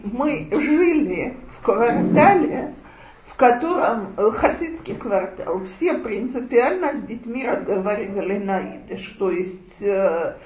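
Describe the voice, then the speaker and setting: A woman lecturer speaks slowly and deliberately, with a voice a little higher than most and frequent pauses to gather her thoughts. The recording is roomy and muffled, as if made in a lecture room full of listeners. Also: a little mellow, slight background noise. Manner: slow, measured, with frequent thinking pauses. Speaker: lecturer on child rearing. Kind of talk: lecture with audience discussion.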